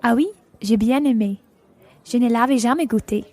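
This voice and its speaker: A woman's voice: fairly high pitch, moderate pace, a little mellow, natural voice. A woman playing a polite, agreeable holidaymaker in an educational radio play. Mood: polite, pleased. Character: polite, agreeable female holidaymaker dining with new friends.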